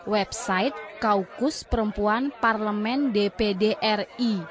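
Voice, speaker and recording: A woman's voice: mid-range voice, takes it slowly, close to an everyday voice. A woman playing an eager, outspoken citizen speaking up emphatically at a public forum with a crowd around. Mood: proud. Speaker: audience member. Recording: crowd noise behind.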